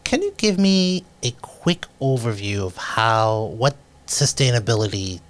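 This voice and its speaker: A man's voice: medium pitch, big pitch swings, unhurried. A man hosting a local town program, introducing a guest and reading announcements in a friendly, conversational way, with occasional stumbles. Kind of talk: local cable interview and announcements.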